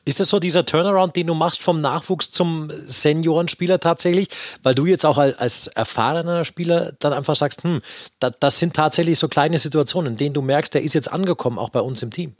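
A man's voice: fairly high pitch, fairly quick, no room sound, clean studio sound. A male podcast co-host making opinionated remarks about young players. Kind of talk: casual podcast chat.

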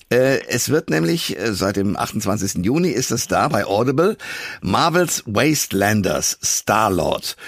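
A man's voice: medium pitch, vocal fry, breathy edge, no echo. A man hosting a conversational interview as a radio and podcast presenter.

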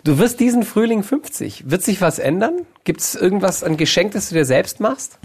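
A man with a slightly high voice hosts a radio talk show. He speaks informally and chattily, moving between remarks and questions in a conversational style. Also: sing-song, moderate pace, a little mellow, husky. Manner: chatty, informal, addresses guest familiarly. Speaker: radio interview host. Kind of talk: casual conversational radio interview.

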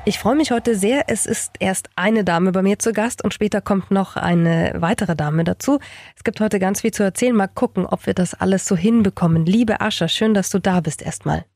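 A woman's voice: mid-range voice, normal speed, a little mellow, dry recording. A woman hosting an interview, asking friendly, probing questions and steering the talk. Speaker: host.

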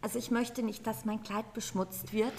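A woman's voice: fairly high pitch, flat delivery, moderate pace, lightly acted. A pampered, haughty queen played by a woman speaks in stately, formal phrases for an audio play. She is fussy about herself and her dress, and faint noise sits behind her. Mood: regal, fretful. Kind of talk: improvised children's audio play.

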